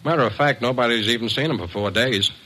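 An actor in a classic radio drama playing a worried, plain-spoken middle-aged boxing manager, earnest and slangy. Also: male voice, medium pitch, fast, sharp tone, breathy edge, poor audio. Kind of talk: 1940s-50s radio drama acting.

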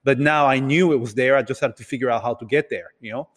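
A man who grew up in Italy and other countries, telling his story casually as an interview guest in a halting, hesitant way. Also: mid-range voice. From Italy and several other countries. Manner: hesitant, halting delivery with repeated words. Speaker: podcast guest, entrepreneur. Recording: reverberant, hall-like room sound.

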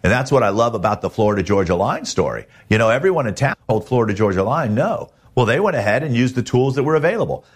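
A man hosting a podcast in a motivational, advice-giving style, emphatic and plain-spoken, with a husky voice. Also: medium pitch, sing-song, fast, few pauses. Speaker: podcast host, music manager.

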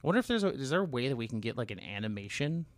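A man chatting casually as a podcast co-host, halting and rambling as he corrects himself along the way. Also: mid-range voice, sing-song, dry recording. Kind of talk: casual chat.

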